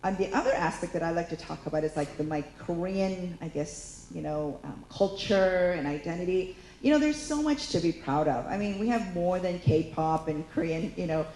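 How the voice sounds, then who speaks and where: A woman's voice: fairly low pitch, moderate pace, few pauses, darkish tone, reverberant, a little hiss or hum, poor audio. A woman in her fifties talking candidly as a panelist in a panel discussion, chatty and full of fillers. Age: 50s. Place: lecture hall.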